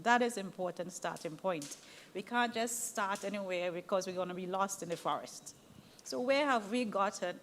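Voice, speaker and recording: A woman's voice: mid-range voice, a little hiss or hum. Firm, structured woman panelist. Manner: lively, laughing, then firmly structured. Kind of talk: webinar panel discussion.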